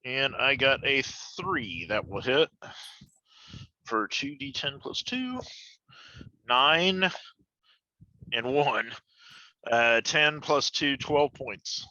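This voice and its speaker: A man's voice: mid-range voice, animated, very slow, pauses often, bright, forceful tone, dry recording. A man playing a tabletop role-playing game, casually reporting his rolls over a somewhat lo-fi recording. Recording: slightly dull, lo-fi voice recording.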